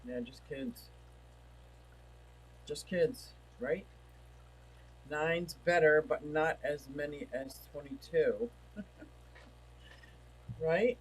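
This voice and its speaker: A man's voice: a little high, very slow, pauses often, slight background noise, poor audio. A man hosting a casual livestream, chatting and reading viewer comments aloud, feeling like he is losing his voice. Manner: rambling, chatty, reads chat messages aloud. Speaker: livestream host. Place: home.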